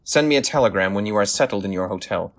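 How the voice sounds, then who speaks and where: An actor playing a brisk, sceptical Victorian detective in an audio drama, speaking in a formal, inquisitive way. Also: male voice, fairly low pitch, expressive, a little hiss or hum. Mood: measured, decisive.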